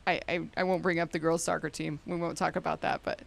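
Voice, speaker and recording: A woman's voice: low-pitched, little pitch movement, quick pace, creaky, no echo. A middle-aged woman hosting an interview show, chatty and upbeat, laughing often. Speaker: host. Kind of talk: show intro and interview chat.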